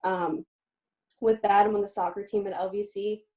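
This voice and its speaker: A young woman from Pennsylvania speaks as a guest on an interview show, her voice mellow and warm at a middling pitch. She talks eagerly and chattily, often pausing on "um" as she goes. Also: steady tone. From Lebanon, Pennsylvania, USA. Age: young adult. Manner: eager, chatty, with frequent "um" fillers. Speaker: guest, college student-athlete. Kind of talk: casual interview.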